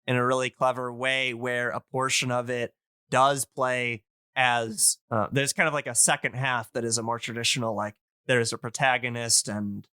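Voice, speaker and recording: A man's voice: mid-range voice, level tone, moderate pace, sharp tone, clean tone, no room sound, studio quality. A man hosting a podcast in casual chat, explaining things enthusiastically and rambling as he searches for words. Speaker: podcast host.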